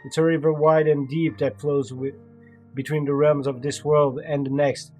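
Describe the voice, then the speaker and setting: A rough-tongued, fierce little old grandmother, as a man reads her lines from a storybook. She talks bluntly and coarsely, a touch higher and louder than his reading voice. Faint instrumental music plays behind. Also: level tone, normal speed, natural voice. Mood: stern tender.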